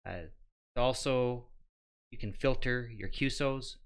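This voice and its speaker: A middle-aged man hosting a how-to show, walking through steps in a plain, instructional and somewhat halting way. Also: mid-range voice, smooth. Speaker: host of a ham radio how-to show. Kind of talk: step-by-step tutorial narration.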